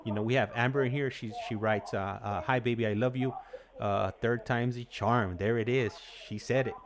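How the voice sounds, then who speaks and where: A man hosting a live call-in show in a casual, halting, agreeable way, often repeating himself. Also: mid-range voice, fairly even intonation, noisy background. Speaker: host of a livestream call-in show.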